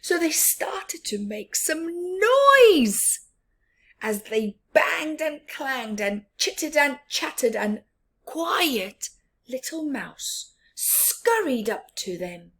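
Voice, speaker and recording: Lady reading kids storybook, high voice, really slow and animated, hushed bits. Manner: drawn-out words, drops into hushed whispers. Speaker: children's storyteller. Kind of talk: expressive picture-book read-aloud for young children.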